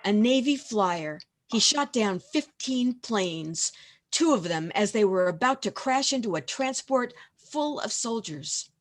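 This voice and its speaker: A woman playing a knowing heavenly narrator in a radio play, telling the story in a measured way. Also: a little high, expressive. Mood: solemn storytelling. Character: knowing heavenly senior angel narrating to Clarence. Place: home, over video call.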